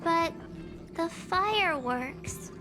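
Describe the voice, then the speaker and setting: A woman's voice: very high voice, expressive, very slow, over music, heavily acted. A woman playing a curious, sentimental teenage girl adventurer in a video game, girlish and naive. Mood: puzzled, sad.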